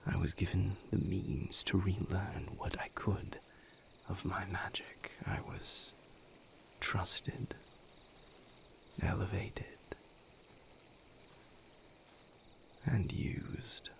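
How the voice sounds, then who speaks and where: A man voices a weary male vampire in an intimate audio roleplay, speaking low and half-whispered. His delivery is slow and solemn, with halting phrases and a gravelly creak, like a lover confiding old pain. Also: steady tone, heavily acted. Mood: somber, reflective.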